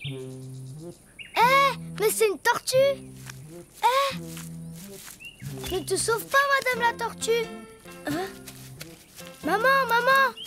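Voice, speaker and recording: A performer voicing a lively, imaginative little boy donkey in a children's cartoon, childlike and playful. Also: extremely high pitch, drawn-out words, long pauses, exaggerated voice. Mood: excited, curious. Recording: loud music behind.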